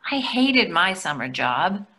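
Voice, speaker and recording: Wife and mother, middle-aged woman actor: bright, forward voice, dry and teasing, matter-of-fact, video-call play reading. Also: on the low side, big pitch swings, slow pace, a little hiss or hum, exaggerated voice. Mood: firm, reassuring. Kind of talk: readers theatre play reading. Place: indoors at home, on a video call.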